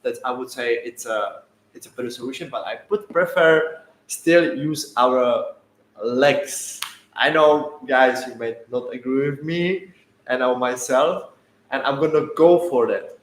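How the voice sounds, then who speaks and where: Czech man in halting English, echoey room. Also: medium pitch, slight background noise. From Czech Republic. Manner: halting non-native English with restarts. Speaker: guest. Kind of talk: casual livestream chat.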